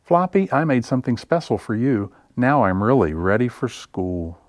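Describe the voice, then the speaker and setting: A man's voice: medium pitch, sing-song, moderate pace, no echo, studio quality, lightly acted. Man reading as an earnest little boy bear. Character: earnest young boy bear starting school.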